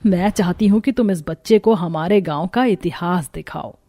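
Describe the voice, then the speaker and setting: A woman's voice: fairly low pitch, moderate pace, warm tone. A woman playing a motherly village wife in a Hindi cartoon story, talking in an explanatory way. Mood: motherly, explaining.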